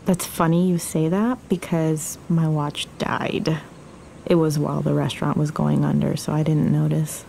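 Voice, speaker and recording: An actress playing a tender, candid young woman in love in an audio drama, gentle and intimate. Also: low voice, little pitch movement, moderate pace, vocal fry, slight background noise, low-quality recording. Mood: wry, guarded. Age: young adult. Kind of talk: naturalistic audio drama acting.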